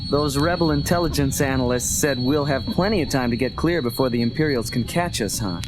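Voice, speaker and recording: A man acting an earnest, steady young rebel starfighter pilot in a space adventure audio drama. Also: medium pitch, fairly quick, over music, lightly acted, low-quality recording. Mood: eager, businesslike.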